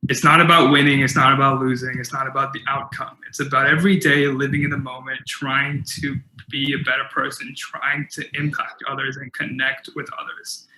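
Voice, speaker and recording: Young man, keynote speaker with a stutter, earnest, very bright tone, roomy echo. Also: medium pitch, level tone, brisk, hardly any pauses, slight background noise. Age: young adult. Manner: earnest, with stutter repetitions and fillers. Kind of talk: motivational keynote talk.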